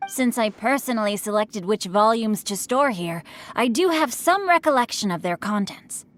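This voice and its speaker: A woman's voice: a little high, expressive, moderate pace, lightly acted. A woman voicing a courteous, eloquent boy, a bookish young master in a fantasy video game. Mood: courtly.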